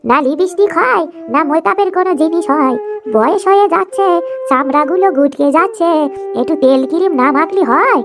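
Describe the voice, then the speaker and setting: A woman's voice: very high-pitched, lively intonation, fairly quick, over music. A woman voicing a boastful, scheming old village mother, drawling and scolding in rustic dialect for a cartoon. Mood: indignant, scolding.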